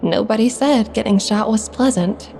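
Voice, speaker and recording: A woman's voice: fairly high pitch, moderate pace, soft background music, natural voice. A woman playing a tender, teasing wife in a dream, in an intimate audio drama. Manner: soft, affectionate, playful.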